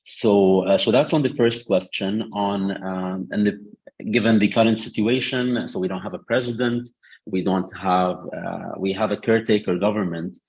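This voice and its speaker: Low husky guy answering on a panel, lots of uh and um, keeps restarting. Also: steady tone, moderate pace, darkish tone, poor audio. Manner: hesitant, many fillers and restarts. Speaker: panel speaker on Lebanon.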